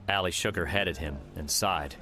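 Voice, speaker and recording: Deep-voiced man narrating an audiobook. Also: brisk, sharp tone, faint music behind. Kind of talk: scripted narration.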